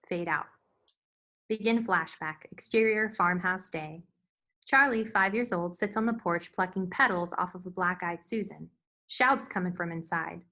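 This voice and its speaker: A woman's voice: fairly low pitch, sharp tone. A woman reading stage directions as scripted narration at a screenplay table read.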